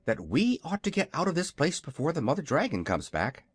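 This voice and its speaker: A reader voicing an anxious elderly wizard in a storybook, a little lower and careful. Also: huge pitch swings, fairly quick, slightly rough, no echo.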